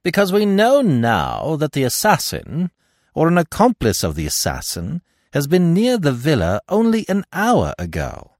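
A cool, unflappable French detective, as acted by a male narrator, pitched high and lively. He speaks with smug, crisp assurance, as in a dramatized audiobook reading. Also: unhurried, dry recording, clean studio sound, heavily acted. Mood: cool, self-assured. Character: imperturbable, confident French male police detective.

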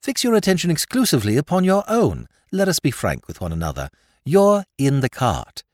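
Man doing a cool, sarcastic young American in a storybook, lively delivery, dry sound. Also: fairly high pitch, brisk, no echo, pitched above the performer's own voice. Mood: sardonic. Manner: drawling, coolly sarcastic. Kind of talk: audiobook character voice.

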